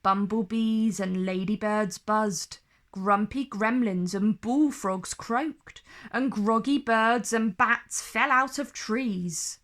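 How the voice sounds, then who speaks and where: A woman storyteller reading a children's book aloud in an expressive, careful, theatrical way. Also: a little high, takes it slowly.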